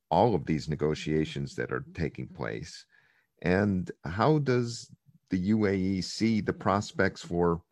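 Man, interviewer, very low voice, composed formal questions, dry close sound. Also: a little mellow, no room sound.

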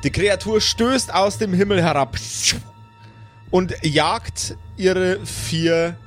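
A man's voice: sits high, slow, faint music behind. A man working as game master, improvising narration and chatting casually with his player.

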